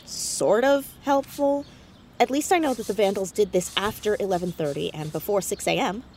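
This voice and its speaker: A woman's voice: medium pitch, moderate pace, darkish tone, slight background noise, natural voice. A woman playing an earnest, inquisitive fourteen-year-old girl amateur detective in a naturalistic audio drama. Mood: upbeat, curious.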